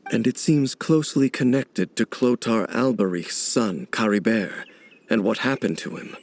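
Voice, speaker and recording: An actor playing a grave, brooding male warrior in a video game, speaking in a measured, formal, deliberate way. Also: fairly low pitch, darkish tone, slight background noise, natural voice. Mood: pensive. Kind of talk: dramatic video game voice acting.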